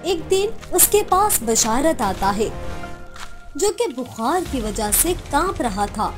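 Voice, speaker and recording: High-voiced female cartoon story narrator. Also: sing-song, slow, music in the background.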